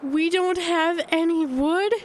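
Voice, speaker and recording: A woman's voice: very high voice, takes it slowly, slight background noise. An actress playing an anxious, wryly dramatic woman in a radio drama. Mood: tense, indignant. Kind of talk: radio drama acting with narrated inner monologue.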